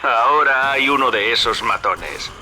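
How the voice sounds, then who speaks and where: An actor with a Castilian Spanish accent playing a wry, chummy opportunist who guides the player in a video game. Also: male voice, medium pitch, unhurried, sharp tone, slight background noise. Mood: wry, instructing. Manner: familiar, sardonic, instructing. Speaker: radio guide character.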